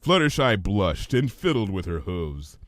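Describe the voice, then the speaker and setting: A man reading fan fiction aloud as expressive, scripted story narration. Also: low-pitched, measured pace, no room sound, clean studio sound.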